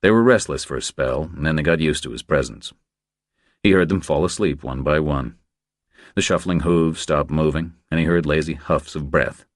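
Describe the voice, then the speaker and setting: A man's voice: a bass voice, normal speed, dry recording, studio quality. A man reading scripted crime thriller narration as an audiobook narrator.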